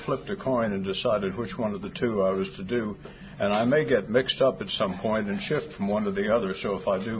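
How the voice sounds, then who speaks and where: Soft-voiced older man, low, lo-fi recording. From Fort Worth, Texas. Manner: dry humor, hesitant with fillers. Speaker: guest speaker. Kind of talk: after-lunch talk. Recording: dull lo-fi sound with clear background noise.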